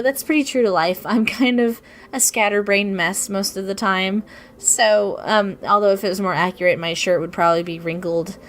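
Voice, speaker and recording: A young woman with a cold, her voice raspy and sniffly, rambling casually and self-deprecatingly over her art video with many ums and restarts. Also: mid-range voice, fairly bright tone, slight background noise. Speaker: artist narrating her speedpaint.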